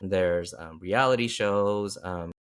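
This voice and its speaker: A man's voice: deep voice, slow pace, clean tone. A man hosting a casual interview, rambling with many restarts and fillers. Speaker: podcast host.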